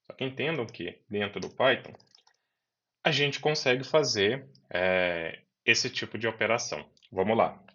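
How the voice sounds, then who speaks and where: Low-voiced man teaching code. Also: unhurried, fairly bright tone. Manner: talks students through code step by step, repeating key words. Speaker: programming teacher. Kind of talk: screen-recorded coding lesson. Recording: dull lo-fi voice recording.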